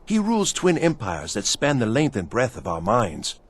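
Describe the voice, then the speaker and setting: Frantic, pleading servant, husky and swooping. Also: male voice, medium pitch, wildly swooping pitch, moderate pace. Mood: reverent. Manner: theatrical, swinging from pleading to hushed awe. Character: frantic, pleading servant devoted to his vanished mad master. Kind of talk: video game character acting.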